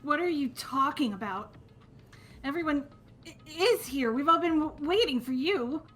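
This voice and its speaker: A woman playing a sullen, jealous, nervous schoolgirl with halting delivery in a fan-dubbed game. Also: sits high, animated, a bit bright, faint music behind. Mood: irritated, halting. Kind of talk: fan-dubbed visual novel game acting.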